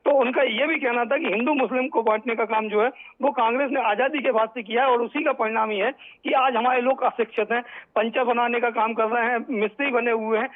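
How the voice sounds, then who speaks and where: Male reporter phoning in, narrow tinny line, strikingly high voice, halting repetitive delivery. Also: steady tone. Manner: halting, repetitive, with many self-corrections. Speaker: news correspondent. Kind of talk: live unscripted news report. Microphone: phone call.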